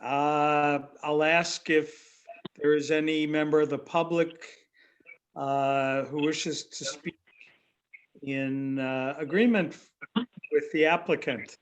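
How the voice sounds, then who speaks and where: A man's voice: a little high, fairly even intonation, slow, rough recording. A man chairing a remote public board meeting, brief and procedural.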